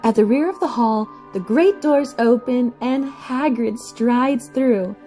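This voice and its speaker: A woman's voice: fairly high pitch, slow, mellow. A woman giving dramatic scripted narration for an audio play, with music behind her.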